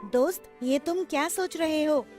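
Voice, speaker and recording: A woman's voice: high-pitched, measured pace, darkish tone, faint music behind, voice pushed up. A woman voicing a worried, sensible young male friend in a children's story, urging.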